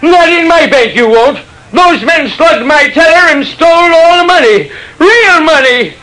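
Excitable, very high-voiced indignant man. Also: a little slow, heavily acted, rough recording. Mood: outraged, alarmed. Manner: excitable, emphatic. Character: agitated, indignant men caught up in a robbery. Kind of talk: classic radio drama acting.

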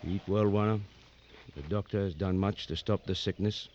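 An actor in an old radio drama playing a calm, watchful safari tracker who speaks in a terse, measured, stoic way. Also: male voice, low-pitched, close to an everyday voice. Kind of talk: 1940s-60s radio drama acting. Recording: dull, noisy old radio recording.

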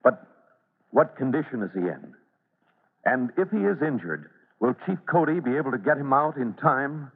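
A man's voice: medium pitch, big pitch swings, moderate pace, breathy edge. A man narrating and announcing an old-time radio serial in an emphatic, theatrical scripted style. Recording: reverberant, tinny vintage radio recording.

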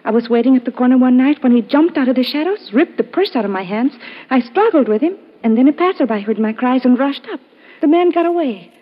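A woman's voice: high-pitched, lively intonation, brisk. An actress playing a frightened, earnest foreign woman in a 1950s radio drama, speaking precisely and formally, on a muffled vintage recording. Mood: earnest, uneasy.

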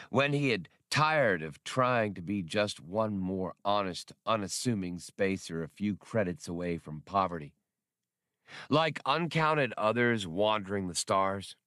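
A man's voice: fairly low pitch, fairly bright tone, dry recording, studio quality. A man reading a scripted work of fiction as an audiobook narrator.

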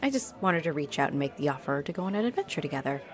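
Low-voiced flirty halfling orc played by a woman. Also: sing-song, rapid-fire. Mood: warm, earnest. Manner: teasing, chuckling, coy. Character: friendly, flirty, playful female halfling orc adventurer. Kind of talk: roleplay audio addressed to the listener. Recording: noticeable noise behind the voice.

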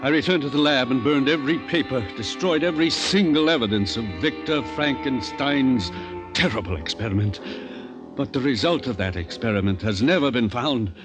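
Male actor as anxious loyal friend, mid-pitched voice, 1950s radio drama, clear music underneath. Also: lightly acted.

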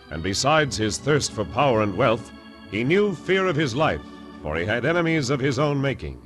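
A man's voice: on the low side, fairly bright tone. A man announcing and narrating a western in a grand, dramatic vintage radio style. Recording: old radio recording with organ music.

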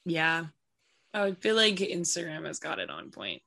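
A woman's voice: sits low, normal speed, creaky. A woman soccer player answering interview questions casually, with lots of "like" and "you know". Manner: relaxed, filler-heavy speech with many "like" and "you know".

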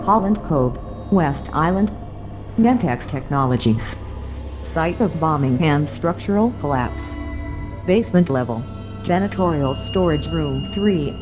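Low, muffled, detached female announcer. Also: animated, measured pace. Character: detached female official logging crime scene location. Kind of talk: clipped scripted location log. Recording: dull lo-fi voice, music behind.

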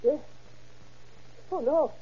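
An actress playing a shaken woman witness in an old-time radio crime drama, speaking in halting, repeated phrases. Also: high-pitched. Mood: shocked. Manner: halting, faltering, repeating herself. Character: shocked, distraught woman witness to a murder. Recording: muffled, noisy old radio recording.

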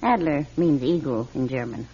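An actress playing a sharp, meddling eighty-year-old spinster in an old-time radio drama, with theatrical, knowing emphasis. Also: female voice, extremely low pitch, expressive, normal speed, slightly rough, heavily acted. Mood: confiding. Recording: dull, band-limited radio drama recording.

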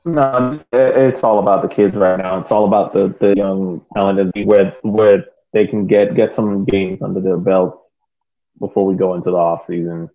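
A man's voice: low voice, steady tone, normal speed. A man co-hosting a sports podcast in casual discussion, heard over a thin, band-limited connection. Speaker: podcast co-host. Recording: tinny phone-like band-limited line.

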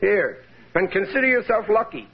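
A grumbling, broke working-class husband. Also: male voice, high voice, sing-song, normal speed. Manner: exasperated, grumbling. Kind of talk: 1940s radio acting. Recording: muffled old radio broadcast.

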